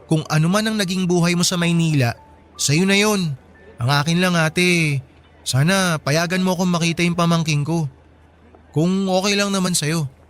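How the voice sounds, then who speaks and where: Radio drama: actor as loyal younger brother, high male voice, eager and respectful. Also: normal speed, pauses often, a bit bright, natural voice. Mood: earnest. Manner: earnest, friendly. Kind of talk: Tagalog radio drama acting. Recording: background music under dialogue.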